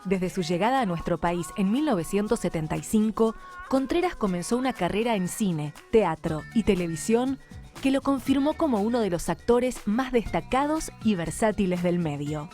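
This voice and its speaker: A woman announcer reading a formal scripted introduction in a measured way. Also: mid-range voice, lively intonation, a little mellow, faint music behind.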